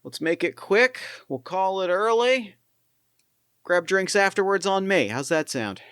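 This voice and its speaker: A man's voice: high voice, lively intonation, measured pace, a bit bright, clean tone, dry recording, studio quality, pitched above the performer's own voice. A man voicing a cocky, showy male mercenary squad leader who treats the mission as easy work. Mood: swaggering. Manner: breezy, showy, theatrical.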